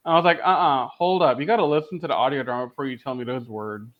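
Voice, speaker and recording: A man's voice: mid-range voice, fast. A man co-hosting a podcast in casual, opinionated chat, emphatic and slangy, on a dull lo-fi voice track. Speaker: podcast co-host.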